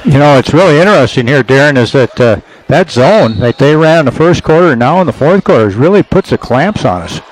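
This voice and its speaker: A man's voice: a little high, lively intonation, fairly quick, few pauses, poor audio. A man giving casual, folksy color commentary beside a basketball announcer, chatty and leaning on filler phrases. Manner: folksy and chatty, leans on "you know".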